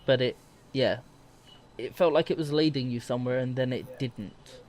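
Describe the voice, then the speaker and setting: A man with a mid-pitched voice chats casually as a podcast co-host. He rambles along in a loose, self-deprecating way. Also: normal speed, a little mellow, slight background noise. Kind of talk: casual chat.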